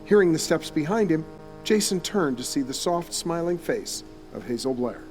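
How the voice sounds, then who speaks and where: A man's voice: fairly high pitch, animated, moderate pace, a little mellow, rough recording. A man reading scripted, descriptive story narration for an audio drama, like a storyteller. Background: music.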